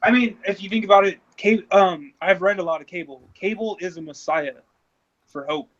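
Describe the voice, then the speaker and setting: A man in his thirties talks in a relaxed chat, stumbling over his words and restarting, then speeding up when he gets excited. His voice sits a little high. The recording sounds dull and lo-fi. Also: normal speed. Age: 30s. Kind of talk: casual chat.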